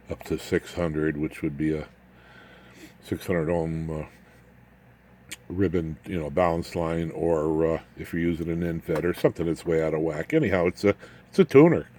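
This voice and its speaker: Older man, deep slow voice. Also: a little mellow, slight background noise. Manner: folksy, halting, wry asides. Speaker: electronics hobbyist presenter. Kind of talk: casual unscripted build walkthrough.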